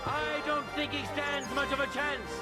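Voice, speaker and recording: High-pitched, booming tournament herald. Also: male voice, steady tone, moderate pace. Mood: ceremonious, wry. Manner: booming, ceremonious, projecting to a crowd. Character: proud male medieval tournament herald rousing a crowd. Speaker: tournament announcer. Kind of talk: theatrical game voice acting, public proclamation. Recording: loud music and crowd behind voice.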